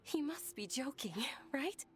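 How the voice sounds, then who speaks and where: A woman voicing a gentle, timid young noblewoman in a game, hesitant and polite. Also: high-pitched, sing-song, unhurried, faint music behind. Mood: nervous. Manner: hesitant, soft-spoken.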